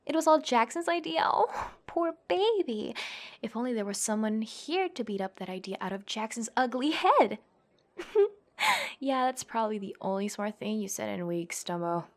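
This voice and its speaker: A woman's voice: fairly high pitch, expressive, moderate pace. A young woman playing a tough, cocky tsundere classmate who fights MMA, brash, bossy and teasing, in an ASMR roleplay. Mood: mocking, taunting. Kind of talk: ASMR girlfriend roleplay acting.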